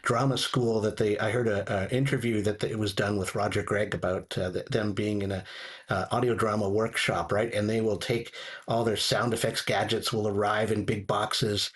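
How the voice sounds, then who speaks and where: Low-pitched man chatting as a guest. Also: flat delivery, moderate pace. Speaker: podcast guest reviewing audio drama. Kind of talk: casual radio discussion.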